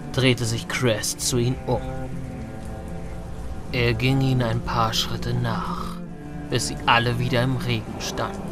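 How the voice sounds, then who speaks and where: A man giving dramatic, scripted narration for an audio drama. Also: medium pitch. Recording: loud music under the voice.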